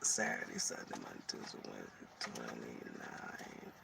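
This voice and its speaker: A middle-aged woman from Michigan with a slightly raspy voice, chatting casually on a live stream and often restarting her sentences. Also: extremely low pitch, normal speed, very noisy. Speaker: vlogger.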